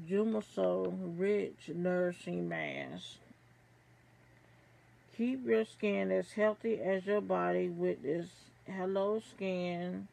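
A middle-aged woman reads product descriptions aloud in a small room at home. Her delivery is very slow and halting, with stumbles over names. The voice is heavily creaky and stays fairly flat. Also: fairly low pitch, rough recording. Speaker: beauty box reviewer. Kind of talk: product review, reading aloud from an info card.

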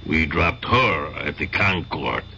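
Deep, slow, polite henchman. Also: lively intonation, creaky, pushed and bright, full character voice. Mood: coolly threatening. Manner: measured, formal. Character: cool, polite henchman with a gun. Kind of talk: 1970s radio drama acting. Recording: dull, noisy vintage radio recording.

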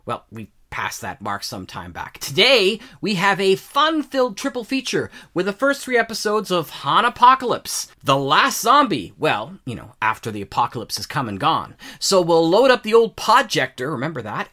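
A man's voice: on the high side, big pitch swings, moderate pace, sharp tone. A man hosting an audio drama showcase, giving a scripted introduction in a playful announcer manner. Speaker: host.

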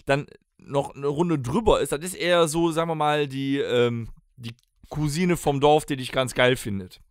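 A man's voice: medium pitch, normal speed, no echo. A man in casual joking podcast chat, dropping wry asides close on a studio microphone. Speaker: podcast host.

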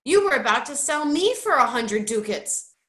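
A woman voicing a calm, kindly, sensible boy in a storybook, speaking in a reasonable way. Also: medium pitch, slow, reverberant. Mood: irritated, practical. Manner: quiet, reasonable.

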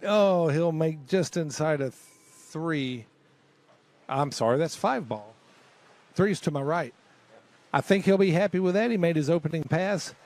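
A man commentating live on a slalom water-ski run, calling the passes with pauses and fillers. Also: a little high, darkish tone. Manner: enthusiastic play-by-play with filler pauses.

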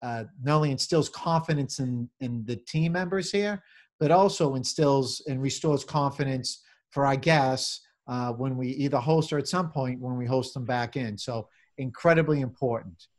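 A middle-aged man answering interview questions on a video call as a venue manager, in halting corporate phrasing with repeated words. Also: mid-range voice. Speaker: convention center general manager, interview guest. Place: small office, indoors. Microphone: computer or webcam on video call.

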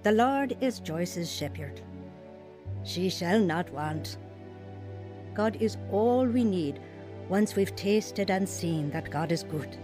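An actress playing a gentle, devout care worker in a radio drama, speaking in a patient, earnest manner. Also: female voice, fairly low pitch.

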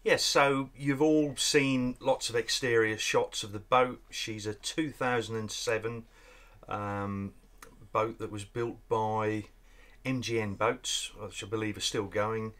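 A man's voice: on the low side, fairly even intonation, slow pace, fairly bright tone. A man chats casually, rambling along with frequent ums as he shows viewers around. He sits in the cramped cabin of a narrowboat, recorded on a small action camera. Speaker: vlogger. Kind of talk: casual tour narration. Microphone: handheld action camera.

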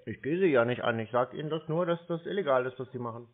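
A man's voice: medium pitch, quick pace. A man arguing on the phone in a blunt, insistent, lecturing way. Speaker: scam-baiter taking a cold call. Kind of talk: confrontational phone conversation. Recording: thin, band-limited phone-line sound.

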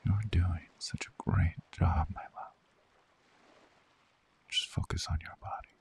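A man playing a seductive, soothing vampire lover in an ASMR sleep roleplay, speaking in a hushed, coaxing, breathy whisper. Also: low-pitched, huge pitch swings, slow pace, exaggerated voice. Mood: soothing, hypnotic.

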